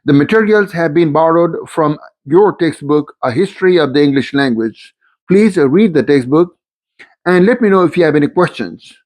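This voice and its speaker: Male lecturer for educational narration: soft mellow tone, measured and didactic delivery, pitched a little above average. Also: animated. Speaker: lecturer, teacher.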